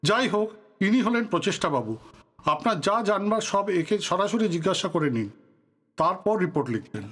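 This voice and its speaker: Radio play politician, male actor: high male voice, courteous but guarded, authoritative, a touch of reproach. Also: animated, brisk, a bit bright. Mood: guarded, reproachful. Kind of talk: Bengali audio drama acting.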